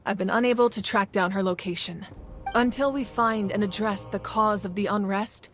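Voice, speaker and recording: A woman voicing a composed, authoritative female ruler in a video game, speaking with measured calm. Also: mid-range voice, faint music behind, natural voice. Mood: earnest. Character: commanding yet gentle female ruler of a nation. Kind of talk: game voice acting.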